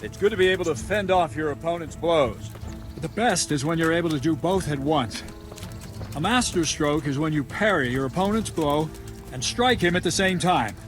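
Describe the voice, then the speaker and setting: A man's voice: a little high, moderate pace, faint music behind, lightly acted. A man playing a stern, demanding medieval swordmaster in a video game, giving blunt, commanding, instructive lines to a pupil. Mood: stern, approving. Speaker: combat trainer.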